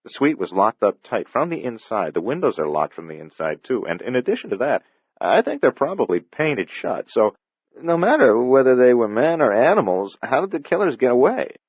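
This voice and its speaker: A man's voice: mid-range voice, very animated, moderate pace, slightly rough, no room sound, voice pushed up. A man voicing an astonished, insistent man laying out a locked-room puzzle, on a slightly dull recording.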